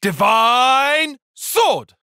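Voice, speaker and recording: A man's voice: extremely high pitch, very animated, very slow, bright, forceful tone, clear, resonant tone, no room sound, studio quality, exaggerated voice. An actor voicing an earnest, calculating and proud young male tactician in a video game, speaking formally and precisely with intensity. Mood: battle shouting. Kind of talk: video game battle voice lines.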